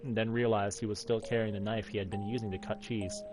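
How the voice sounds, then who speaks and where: A man reads a visual novel aloud as the narrator of a game playthrough. His voice sits on the low side, with faint music behind it. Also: flat delivery, quick pace.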